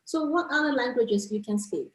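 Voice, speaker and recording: Lady asking questions, highish voice, expressive and giggly, casual chat. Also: moderate pace, echoey room. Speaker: interviewer, travel vlogger.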